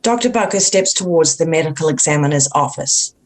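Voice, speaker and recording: A woman with a slightly low voice narrates a screenplay at a table read. She delivers the stage directions in a plain, matter-of-fact way, as scripted narration. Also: animated, a little fast.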